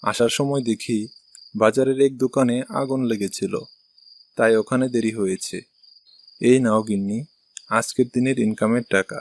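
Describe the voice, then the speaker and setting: Man acting cartoon shopkeeper husband, mid-pitched voice. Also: steady tone, moderate pace, long pauses, natural voice. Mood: earnest, patient. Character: ice cream seller husband, earnest or gruff by turns. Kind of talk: Bengali cartoon dialogue.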